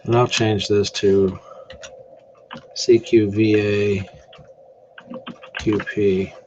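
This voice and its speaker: A man's voice: on the low side, little pitch movement, extremely slow. A man giving a casual, unscripted tutorial, thinking aloud as he works through a demonstration. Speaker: amateur radio contest demonstrator. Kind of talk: casual screen tutorial narration. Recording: dull lo-fi mic with faint noise.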